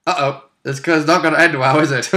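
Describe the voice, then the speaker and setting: Bright-voiced man chatting wryly about a game. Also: fairly high pitch, fairly even intonation, normal speed. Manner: jokey, chuckling, wry interjections. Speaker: co-host and player recapping a tabletop role-playing campaign. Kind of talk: casual chat.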